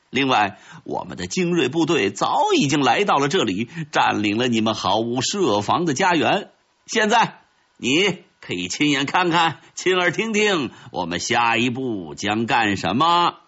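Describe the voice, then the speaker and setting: Man voicing a haughty red ant commander, husky. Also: mid-range voice, big pitch swings, normal speed, fairly bright tone, muffled recording, pitched above the performer's own voice, heavily acted. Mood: gloating. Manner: haughty, declamatory, giving military orders.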